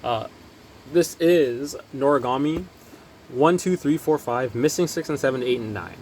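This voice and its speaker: A man's voice: medium pitch, a little slow, darkish tone, a little hiss or hum. A young man hosting a casual manga haul video, talking loosely with lots of fillers and restarts. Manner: rambling, lots of ums and likes. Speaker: manga collecting vlogger. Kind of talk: casual talk to camera.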